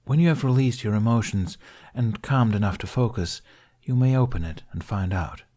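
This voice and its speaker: A man voicing a wise, gentle older Jedi master in a story, measured and counselling. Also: on the low side, natural voice. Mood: gentle, reflective. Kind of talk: storybook character voice.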